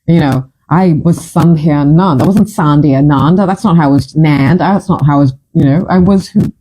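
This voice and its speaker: A woman's voice: deep voice, normal speed, warm tone, husky, poor audio. A woman from Fiji in her fifties, hosting a casual chat and telling her stories in a chatty, dramatic, self-mocking way. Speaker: host.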